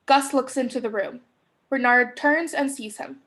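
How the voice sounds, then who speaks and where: A young woman gives scripted narration of stage directions for a play reading. Her voice sits high as she describes the action. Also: moderate pace, a bit bright. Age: young adult.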